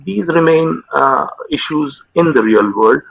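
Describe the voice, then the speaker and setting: A man in his thirties, a psychiatrist whose first language is Urdu, speaking haltingly with many fillers and repeated words in an interview over a video call. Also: on the high side, expressive, creaky, no room sound. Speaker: consultant psychiatrist guest. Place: home room with window. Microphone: video call webcam. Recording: dull lo-fi video call audio.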